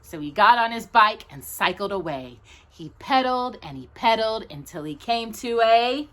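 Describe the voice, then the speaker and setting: A woman hosting a children's storytime, reading a picture book with playful, drawn-out emphasis and chatty asides to a companion. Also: a little high, animated, fairly bright tone. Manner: addresses a companion directly while reading.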